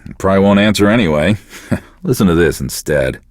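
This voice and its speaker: Casting: sour, deadpan American divorced DJ, middle-aged, low register with lively swings, close dry studio sound. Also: male voice, fairly quick, no echo. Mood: sardonic. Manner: deadpan, sardonic, profane. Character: bitter, self-pitying divorced middle-aged male radio DJ. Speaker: radio DJ. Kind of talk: casual radio DJ patter.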